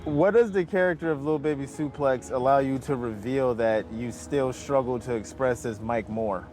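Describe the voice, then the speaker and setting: A man's voice: mid-range voice, normal speed, darkish tone, faint music behind. A man hosting a podcast interview, laid-back and agreeable, using casual slang. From Albany, New York. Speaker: podcast host.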